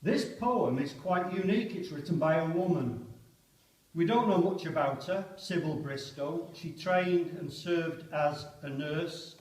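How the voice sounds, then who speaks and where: A man with a slightly high voice introduces a poem reading at a church service. He speaks at a slow pace, and his voice rings around the echoing building. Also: a little mellow. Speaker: reader at a church vigil service. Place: parish church. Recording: echoey church, distant microphone.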